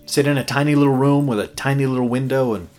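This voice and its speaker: An actor playing an awkward, self-deprecating young rookie late-night DJ in an audio drama, hesitant and joking. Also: male voice, medium pitch, fast, a little mellow, soft background music, natural voice. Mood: wry, casual. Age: young adult. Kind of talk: naturalistic horror audio drama acting.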